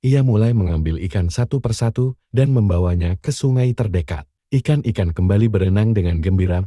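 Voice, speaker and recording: Male bedtime-story narrator, low and very soft-edged, slightly husky. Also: brisk, no echo, studio quality.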